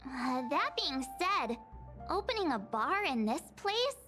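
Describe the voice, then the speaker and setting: Squeaky, excitable little girl companion. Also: a little slow, soft background music, full character voice. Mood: curious, impressed. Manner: eager, wide-eyed, childlike. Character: chirpy, excitable young girlish game companion. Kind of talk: video game character dialogue.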